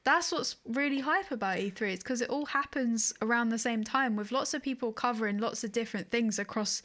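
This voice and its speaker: A woman's voice: on the high side, a little fast, fairly bright tone. A woman co-hosting a podcast, chatting casually about video games. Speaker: podcast co-host.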